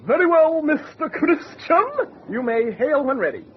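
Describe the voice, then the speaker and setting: Through a muffled, hissy radio recording comes a pompous amateur sea captain. His high voice swoops around with grandiose, whimsical, mock-nautical flourish in old radio style. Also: sing-song, normal speed. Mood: suspicious. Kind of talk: old-time radio comedy-horror acting.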